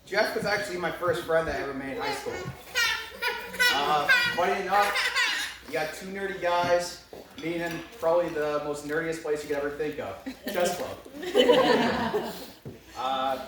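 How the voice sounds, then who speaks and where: A man giving a wedding toast to his old friend, emotional and laughing, with a loud voice. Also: a little high, sing-song, unhurried, long pauses. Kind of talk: heartfelt toast. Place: wedding reception venue. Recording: distant mic in an echoey hall with loud crowd noise.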